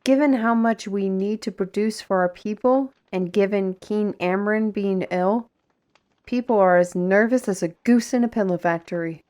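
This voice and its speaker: A woman's voice: medium pitch, moderate pace, darkish tone, lightly acted. A woman playing a defiant, sardonic young artist in a fantasy audio drama, with a pointed, sarcastic delivery. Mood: wry.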